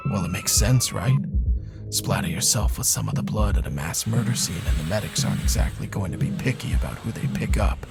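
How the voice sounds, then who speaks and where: Weary male cop, noir game storytelling, creaky low voice, brooding, sardonic, music behind. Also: wildly swooping pitch, fairly quick, heavily acted. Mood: wry, weary. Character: weary, bitter male police detective recounting a serial killer case. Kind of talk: noir video game cutscene narration.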